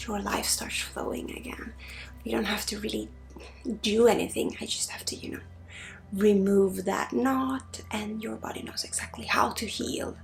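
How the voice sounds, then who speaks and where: Soft creaky woman, drifting into whisper. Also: medium pitch, steady tone, normal speed, soft background music, poor audio. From Sweden. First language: Swedish. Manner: drifts between soft murmur and breathy whisper. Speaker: ASMR host, spiritual energy healer. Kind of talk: ASMR, soft-spoken guided energy healing.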